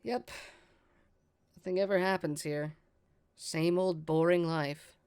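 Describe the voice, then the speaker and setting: A woman's voice: on the low side, fairly even intonation, slow, darkish tone, clear, resonant tone. A woman acting a brash, sardonic young woman in an audio drama, with a dry, teasing manner. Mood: bored, resigned. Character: brash, teasing young woman, bored with small-town life.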